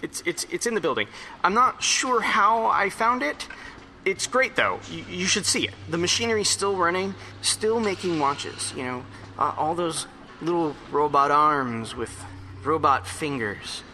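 A man playing an excitable, hungover rambler in an audio drama monologue, stammering, repeating and correcting himself as he confides. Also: a little high, a little hiss or hum, heavily acted. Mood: bemused, wandering. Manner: halting, repetitive, self-correcting rambling.

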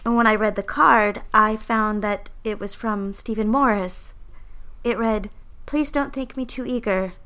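A woman's voice: medium pitch, steady tone, unhurried, sharp tone. A woman playing an earnest, proper young single career girl in an audio drama, speaking in old-fashioned, demure phrases. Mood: wistful, hopeful. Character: earnest twenty-four-year-old single career girl telling her diary. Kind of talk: audio drama narration and dialogue. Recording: music under the voice. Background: music.

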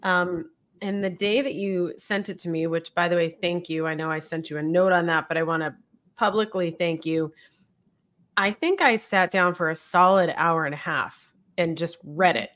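A woman's voice: fairly low pitch, normal speed. A woman hosting an interview podcast, talking upbeat and effusive in a casual way. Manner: upbeat, effusive, warm thanks. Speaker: podcast host.

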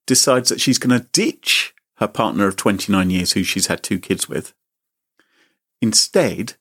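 A man hosting a chat show, telling a comic story with theatrical mock outrage. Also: fairly bright tone. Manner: mock-indignant, theatrical, sweeping pitch. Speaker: podcast host.

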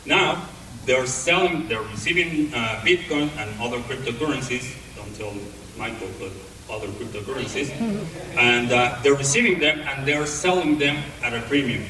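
A man with a non-native English accent giving a talk to an audience, speaking haltingly with many pauses and restarts. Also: medium pitch, bright, forceful tone. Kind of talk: presentation to an audience. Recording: echoey hall, voice from far off.

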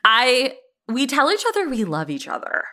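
A woman's voice: high voice, huge pitch swings, normal speed, bright, forceful tone. A woman co-hosting a podcast in casual, emphatic banter, repeating words to drive her point home. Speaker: podcast co-host. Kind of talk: casual banter about a TV show.

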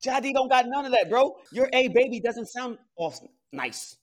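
A man's voice: very high-pitched, lively intonation, normal speed. An American man in casual banter, interjecting with slangy teasing.

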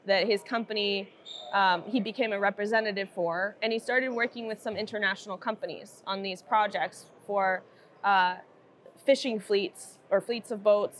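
A woman from Iceland, a podcast guest telling her backstory in a relaxed, chatty way. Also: medium pitch. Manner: relaxed, chatty, laughs easily. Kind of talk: casual interview conversation.

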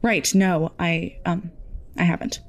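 Slow, hesitant, anxious young-hearted woman. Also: on the low side, fairly even intonation. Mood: timid. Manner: hesitant, halting. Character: shy, anxious, polite woman newcomer. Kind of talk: naturalistic audio drama acting.

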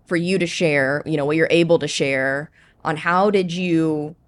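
Woman host, deep voice, affirming, encouraging, casual interview. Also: measured pace. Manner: affirming, frequent encouraging backchannels. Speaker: podcast host.

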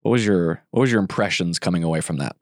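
Congested podcast host, low dry voice. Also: male voice, quick pace, dry recording. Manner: rambling, many fillers and restarts. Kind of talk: casual podcast chat.

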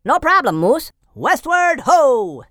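Shrill, obnoxious woodpecker. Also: male voice, sing-song, takes it slowly, fairly bright tone, dry recording, clean studio sound, heavily acted. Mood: brash, gleeful. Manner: noisy, brash. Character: loud, obnoxious, cheeky male woodpecker. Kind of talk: audiobook character voice.

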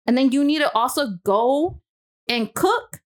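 A woman in her twenties with a fairly high voice, chatting casually. Her talk is full of slang and swearing. She vents in an emphatic way, circling back and repeating her points. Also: a little slow. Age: 20s. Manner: slangy, sweary, animated venting with repetition. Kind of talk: casual podcast chat.

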